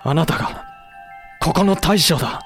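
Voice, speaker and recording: An actor playing a composed, grave samurai official who speaks and narrates in a measured way in a Japanese period voice drama. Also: male voice, a little high, expressive, a bit bright, soft background music. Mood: somber, urging.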